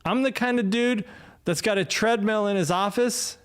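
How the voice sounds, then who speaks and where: A man's voice: sits high, normal speed, clear, resonant tone. A man in his fifties talking casually on a solo livestream podcast, rambling and self-deprecating, with many fillers and restarts. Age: 50s. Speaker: podcast host. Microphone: desk mic, no headphones.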